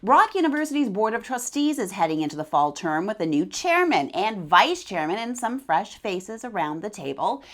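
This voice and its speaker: Woman news anchor with lively intonation. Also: mid-range voice, moderate pace, few pauses. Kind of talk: scripted local news presenting.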